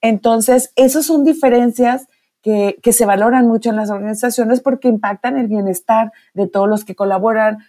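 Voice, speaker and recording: A woman, an academic guest speaking in a measured, thoughtful way. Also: fairly high pitch, fairly even intonation, warm tone. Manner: measured, thoughtful, frequent fillers. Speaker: professor, guest. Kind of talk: interview answer.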